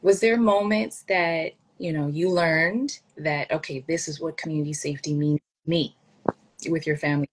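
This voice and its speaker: A young woman hosts a podcast interview from a home office over a webcam. Her voice is low for a woman, and she affirms her guest and then asks open, thoughtful questions. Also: fairly even intonation, moderate pace, husky. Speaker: podcast host. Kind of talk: interview over video call. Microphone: video call webcam.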